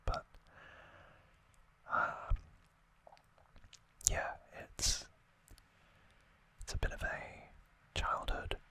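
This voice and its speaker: A man hosting an ASMR video, whispering throughout as he explains things off the cuff, with thoughtful, hesitant pauses. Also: bright, forceful tone, rough recording. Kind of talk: whispered ASMR, unscripted explanatory unboxing talk.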